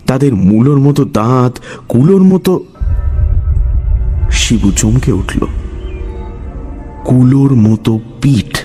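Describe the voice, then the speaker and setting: A man narrating a scripted horror story in a dramatic, suspenseful way, with deliberate pauses. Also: medium pitch, darkish tone.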